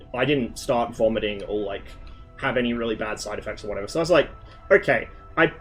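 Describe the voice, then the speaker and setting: Rambling, swearing man chatting to viewers. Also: mid-range voice. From Australia. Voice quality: mild speech impediment on L sounds. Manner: rambling, swears a lot, jumps between tangents. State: lingering cough. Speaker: streamer. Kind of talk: casual rambling chat with stream viewers.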